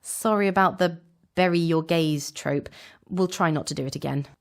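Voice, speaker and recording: A woman's voice: deep voice, moderate pace. A woman playing a breezy, wry time-travelling companion, narrating an audio drama recap with casual, chatty asides. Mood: casual, brisk.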